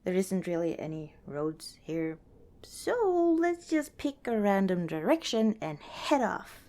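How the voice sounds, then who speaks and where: A woman playing a friendly, protective young wanderer in an ASMR roleplay, chatting casually and gently with hesitant pauses and small chuckles. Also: medium pitch, very animated. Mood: casual, encouraging. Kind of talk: ASMR roleplay, casual one-sided dialogue.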